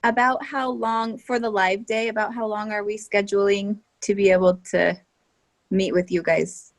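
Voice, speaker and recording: A woman's voice: mid-range voice, steady tone, normal speed. A woman taking part as a teacher in an online training, asking casual, slightly tentative questions over a video call.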